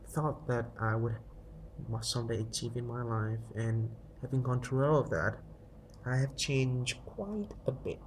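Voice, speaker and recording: A man's voice: medium pitch, darkish tone, poor audio. Young vietnamese-native guy rambling into his phone at night, lots of restarts, faint hiss. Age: young adult. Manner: musing, hesitant, many restarts. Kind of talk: casual selfie monologue. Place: dim room at night, indoors. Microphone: phone held at arm's length.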